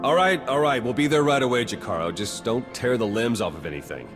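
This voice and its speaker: An actor playing a wry, practical spy in a video game, talking in a casual, dry, matter-of-fact way. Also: male voice, medium pitch, animated, brisk, over music, close to an everyday voice. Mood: wry exasperation. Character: wry, confident male Republic spy, practical and brisk.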